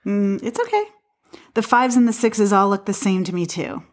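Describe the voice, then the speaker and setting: An actress playing a skeptical but helpful apartment dweller in an audio play, speaking in a hesitant, conversational way. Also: female voice, medium pitch, moderate pace, lightly acted.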